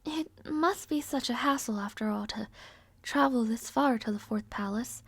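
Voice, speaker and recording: A woman's voice: on the high side, moderate pace, slightly rough, dry recording. A woman playing a rude, arrogant but somewhat shy young princess in an audio roleplay, speaking in a formal, languid, world-weary way. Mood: languid, bored. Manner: haughty, languid, sighing, formal wording. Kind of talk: scripted audio roleplay acting, addressing the listener. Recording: close, dry, no ambience.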